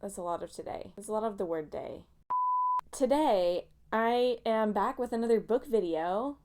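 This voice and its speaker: Chatty sniffly woman, smooth voice. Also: medium pitch, expressive, moderate pace, darkish tone. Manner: chatty, self-mocking, goes off on tangents. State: slightly nasal and sniffly from allergies. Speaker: booktuber. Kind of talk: casual rambling book vlog.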